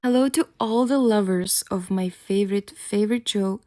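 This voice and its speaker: A woman's voice: medium pitch, normal speed, warm tone. A woman in her thirties with a Czech accent hosting her own fan podcast in chatty, enthusiastic solo talk. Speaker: podcast host.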